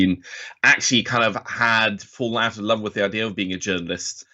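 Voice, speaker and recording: A man's voice: on the low side, sharp tone, dull audio. A man in his forties from Norway telling his story casually as an interview guest, enthusiastic and self-deprecating. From Oslo, Norway. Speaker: podcast guest, financial journalist.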